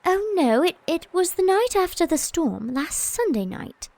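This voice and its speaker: An actress playing a blunt, lively young Englishwoman in an audio drama, talking in a playful, breathless way. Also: very high voice, unhurried, dry recording, heavily acted. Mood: uneasy.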